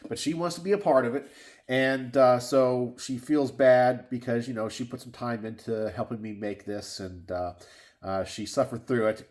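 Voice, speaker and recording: A congested, slightly sniffly middle-aged man from Texas talks casually in his home garage. He rambles and circles back through fillers and restarts at an everyday middle pitch, like a host catching his viewers up. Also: a little mellow. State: stuffed up and sniffly. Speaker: gun channel host. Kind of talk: casual solo chat to camera.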